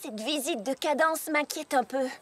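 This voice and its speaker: A woman's voice: high voice, normal speed, very noisy, lightly acted. A woman playing an earnest, eager and slightly anxious young female pony in a cartoon dub. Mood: worried. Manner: earnest, excitable, expressive. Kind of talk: animated cartoon dub acting.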